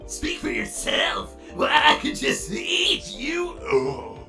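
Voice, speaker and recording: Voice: mid-range voice, wildly swooping pitch, slow pace, creaky, music in the background, voice pushed down, full character voice. An actor voicing a flirty, manic robot jester from a cartoon, teasing and suggestive in a theatrical way. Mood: lustful, eager.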